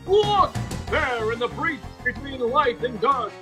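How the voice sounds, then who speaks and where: A man performs a high-voiced, theatrical narrator for an old-time radio space comedy. He announces in grand, bombastic fashion over prominent music. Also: lively intonation, slow pace, exaggerated voice. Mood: grandiose.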